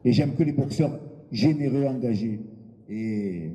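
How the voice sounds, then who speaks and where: Male fight promoter, very dark voice, blunt, hesitant, reverberant hall. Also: medium pitch. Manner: hesitant, with frequent filler pauses; blunt and informal, with crude words. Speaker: boxing event promoter. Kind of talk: press conference speech. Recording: long hall reverb with background noise.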